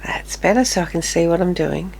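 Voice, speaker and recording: A woman in her fifties with a fairly low voice that is still a little nasal, talking through her makeup routine. She chats casually and drops into quiet, murmured asides while she works. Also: brisk, creaky, slight background noise, rough recording. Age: 50s. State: slightly nasal, recovering from illness. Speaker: beauty vlogger. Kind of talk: casual makeup tutorial chat.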